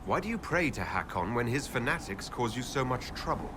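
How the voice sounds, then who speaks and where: A man playing a composed, curious elven leader in a fantasy game, asking questions in a measured, wry way. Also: medium pitch, very animated, close to an everyday voice. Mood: questioning. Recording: clear ambient noise behind voice.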